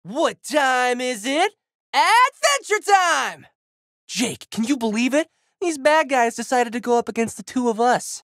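A brash, big-hearted teenage boy hero delivers video game battle lines. His voice is high and swings wildly in pitch. He is slangy, emphatic and goofy, in a dry, close studio sound. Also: sing-song, measured pace, fairly bright tone, no room sound, heavily acted. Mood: pumped up.

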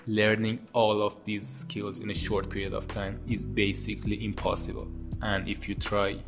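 Thirties Iranian-accented host, low and very even, steady advice over background music. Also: male voice. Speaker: tutorial channel host. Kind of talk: scripted educational talk to camera. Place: home studio with monitors and posters. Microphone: boom-arm podcast mic. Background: soft background music.

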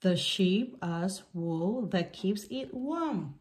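A woman reading a picture book aloud for small children in simple, deliberate sentences. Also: medium pitch, lively intonation.

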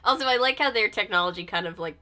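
A woman's voice: medium pitch, fast, pushed and bright. A young woman giving casual, giggly reaction commentary, saying "like" often. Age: young adult. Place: small cosy furnished room indoors.

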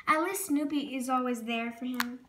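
A woman's voice: sits high, moderate pace, background noise. A female reading a children's storybook aloud expressively, sometimes stumbling over words and correcting herself. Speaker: storybook reader.

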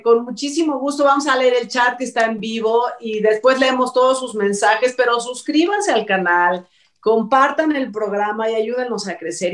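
A middle-aged Mexican woman with a Mexico City accent and a fairly high voice chats and rambles as a show host. She sounds a little distant from the mic, in an echoey home room on a video call. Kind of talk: casual panel chat. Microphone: video call, off the mouth.

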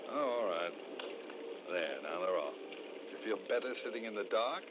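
A man's voice: fairly low pitch, expressive, moderate pace, close to an everyday voice. An actor playing a sensible man reasoning with his partner in an old-time radio suspense play. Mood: reassuring, exasperated. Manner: reasoning, dismissive of fears. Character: sensible, reassuring husband or boyfriend. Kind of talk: 1940s radio suspense acting. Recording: muffled noisy old-time radio recording.

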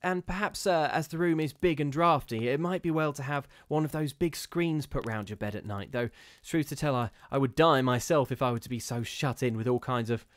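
A man playing a kindly but jumpy landlady, her voice lifted higher than his own and quick to fret. She frightens herself with her own warnings. Close, dry sound. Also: dry recording, lightly acted. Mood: anxious. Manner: fretful, anxious. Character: nervous, kindly middle-aged landlady.

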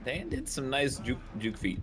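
Expressive man commenting on a game. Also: mid-range voice, moderate pace, soft background music. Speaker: player spectating with friends. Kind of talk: casual gaming banter.

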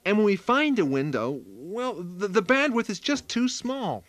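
High, bright man acting a nerdy husband. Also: big pitch swings, measured pace, no echo, heavily acted. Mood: awkward complaining. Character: nerdy, awkward computer-geek husband, eager and oblivious. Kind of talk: radio sketch comedy acting.

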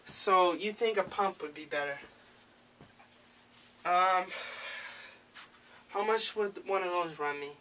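Young male prank caller: bright, forward voice, rambling and overly familiar, noisy amateur camera sound. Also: sits high, slow pace, pauses often. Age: young adult. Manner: rambling, hesitant, overfamiliar. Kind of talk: prank phone call. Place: small cluttered room indoors. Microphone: handheld camera a few feet away.

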